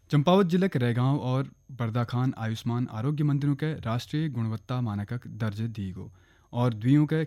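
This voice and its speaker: A man's voice: medium pitch, dark tone. A man with a Kumaoni accent reading a scripted regional radio news bulletin.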